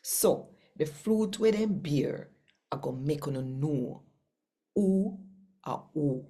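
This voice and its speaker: Slow, deep-voiced Jamaican woman. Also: animated, dark tone. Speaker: scripture reader. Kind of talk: daily Bible reading in Jamaican Patois.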